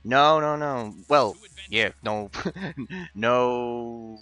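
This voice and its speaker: A man's voice: medium pitch, very slow, pushed and bright, smooth. A man from Argentina with an Argentine Spanish accent, a native Spanish speaker, chatting casually and very politely with live-stream viewers and often repeating words for emphasis. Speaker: game streamer. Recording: faint game music behind voice. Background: faint music.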